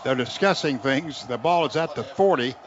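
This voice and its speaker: A man's voice: medium pitch, moderate pace. An American man calling live college football on radio, describing each play in clipped phrases and lifting his voice when the action builds. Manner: clipped running description, rising into excited calls on big plays. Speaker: radio play-by-play announcer for the Oklahoma team. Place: outdoor football stadium broadcast. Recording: dull, noisy stadium radio broadcast.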